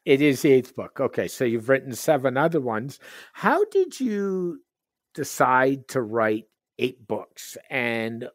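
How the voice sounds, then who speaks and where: A man's voice: medium pitch, no echo. Guy hosting a podcast, bouncy sing-song delivery, dry close sound, keeps checking in with his guest. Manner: affirming, asks for confirmation. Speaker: podcast host. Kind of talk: conversational interviewing.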